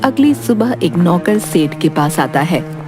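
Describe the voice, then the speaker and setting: A woman's voice: on the low side, big pitch swings, measured pace, music in the background. A woman narrating a scripted children's folk story.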